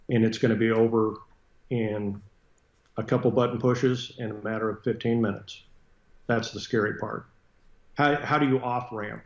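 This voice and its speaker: An American man speaking as an interview guest, blunt and matter-of-fact in a conversational style. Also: medium pitch. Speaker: interview guest, retired military fighter pilot and aviation businessman. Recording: roomy echo, dull muffled sound.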